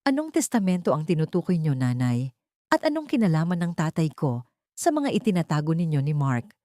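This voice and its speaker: A woman's voice: low-pitched, big pitch swings, a little fast, warm tone, dry recording, clean studio sound. A woman voicing a hurt but resolute wronged wife in an audio story. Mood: cold, confronting.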